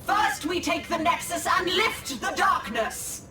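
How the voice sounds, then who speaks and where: A woman's voice: extremely high pitch, lively intonation, a little slow. A woman voicing a proud, commanding elven alien seeress and war leader in a video game, with solemn, formal delivery. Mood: rousing, resolute. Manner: imperious, solemn, formal declamation. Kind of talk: dramatic video game acting. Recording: echoing, distant, under loud music. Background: loud game music.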